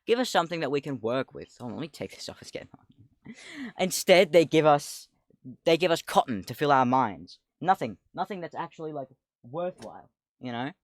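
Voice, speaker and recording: A man in his twenties with a deep voice, chatting casually on a livestream, rambling, laughing often, swearing and getting sidetracked. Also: very animated, a little fast, dry recording. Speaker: streamer.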